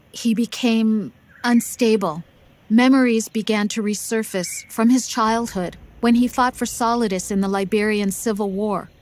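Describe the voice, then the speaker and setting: An actress playing a gentle, troubled female counselor in a video game, soft, hesitant and earnest. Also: a little high, natural voice. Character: gentle, troubled female counselor, anxious about former lover. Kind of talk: video game cutscene acting.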